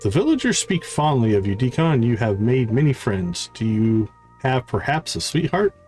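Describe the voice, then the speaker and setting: A man's voice: medium pitch, big pitch swings, normal speed, darkish tone, faint music behind, natural voice. A man voicing a prim, fussy, well-bred lady florist from a video game, with formal, old-fashioned and haughty phrasing. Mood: genteel, confiding.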